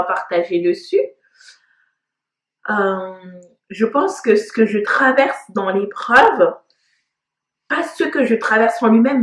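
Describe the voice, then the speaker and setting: Woman in her 30s from Congo, vlogger; slow, searching delivery with lots of fillers, bright higher voice, echoey room. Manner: hesitant, many fillers, reflective. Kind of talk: casual personal vlog talk.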